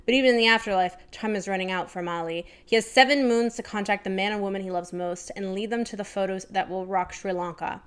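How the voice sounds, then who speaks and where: Clear-voiced woman chatting casually about books. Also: medium pitch, steady tone, quick pace, few pauses. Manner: informal, tentative, thinking aloud with frequent "like". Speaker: book review vlogger. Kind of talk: casual chatty book recommendations with read-aloud blurbs.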